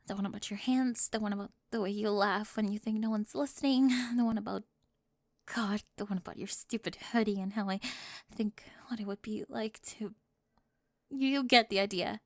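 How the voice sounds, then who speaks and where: A woman voicing a flustered, defensive teenage cheerleader bully with a secret crush in an ASMR roleplay, halting and nervous, often dropping toward a whisper. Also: medium pitch, a little mellow, no echo, clean studio sound, lightly acted. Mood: shy, confessing. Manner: halting, self-interrupting, nervous laughs, drops into whispers.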